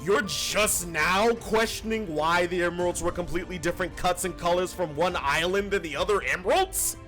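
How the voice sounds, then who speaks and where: A man voicing a suspicious, hot-tempered young echidna guardian with confrontational, skeptical delivery in an animated fan dub. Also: high voice, normal speed, faint music behind.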